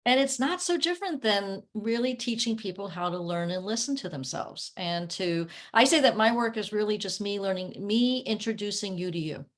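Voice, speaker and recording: A woman's voice: mid-range voice, animated, normal speed, hardly any pauses. A woman speaking conversationally as a guest coach on a video call, explaining things in an earnest, reflective way. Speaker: guest, coach working with clients. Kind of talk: conversational interview.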